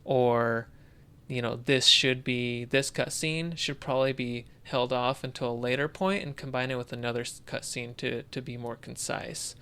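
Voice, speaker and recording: Guy cohosting a podcast, really clean smooth voice, casual, kinda thinks out loud. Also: mid-range voice, steady tone, moderate pace. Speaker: podcast co-host. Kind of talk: casual discussion of video games.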